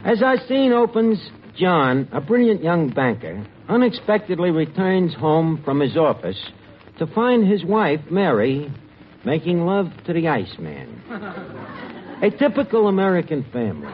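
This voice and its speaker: Pushy, high-voiced New wise guy. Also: big pitch swings, a little slow. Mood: mock-grand. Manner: ungrammatical wise guy, mock-grand. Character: bumbling, pushy amateur playwright and self-styled director. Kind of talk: 1940s radio comedy acting. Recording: dull, very noisy old radio recording, studio crowd.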